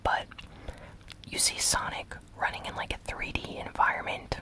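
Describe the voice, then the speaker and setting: An ASMR gaming news host speaking in a breathy, unvoiced whisper, soft, unhurried and conversational. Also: low-quality recording.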